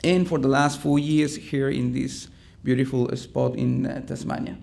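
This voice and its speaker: A middle-aged man from Chile with a Chilean Spanish accent, giving a conference presentation in a halting way, with many ums and restarts. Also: medium pitch, level tone, vocal fry, darkish tone. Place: conference room.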